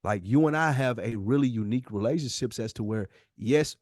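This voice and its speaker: A man's voice: mid-range voice, fairly quick, darkish tone, no echo. American guy cohosting a podcast, real earnest n emphatic, repeats himself, dry close sound. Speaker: podcast co-host. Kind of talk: candid heart-to-heart conversation.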